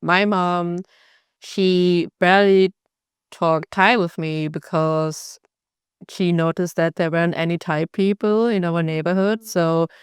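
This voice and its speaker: Woman podcast host, lower pitch, very clear voice, dry sound. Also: steady tone, slow pace, no echo. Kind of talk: casual podcast interview.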